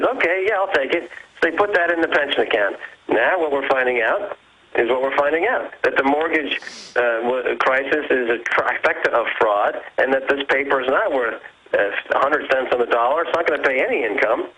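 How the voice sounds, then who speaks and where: A man guest on a radio show explaining pension finance, halting at first with many ums, then fluent. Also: mid-range voice, pushed and bright, breathy edge, rough recording. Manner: hesitant, many fillers and restarts, then fluent. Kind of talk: explanatory interview talk.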